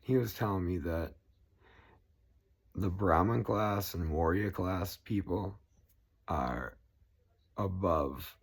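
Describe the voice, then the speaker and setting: A man's voice: sits low, slow pace, vocal fry, long pauses. A man with a Boston accent ranting at length, swearing constantly and rambling. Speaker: political vlogger. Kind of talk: profane rambling rant to camera.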